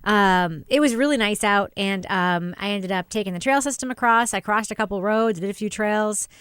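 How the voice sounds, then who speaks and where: A middle-aged woman with a clear, smooth voice, co-hosting a podcast and telling personal stories in casual chat. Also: medium pitch, moderate pace, fairly bright tone, dry recording. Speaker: podcast co-host.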